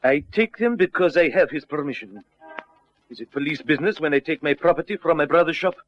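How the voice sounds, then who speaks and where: An actor playing an indignant, temperamental foreign suspect who protests in stiff, formal non-native English in an old radio detective drama. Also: male voice, fairly high pitch, lively intonation, fairly quick, fairly bright tone, faint music behind. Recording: dull, noisy old radio drama recording.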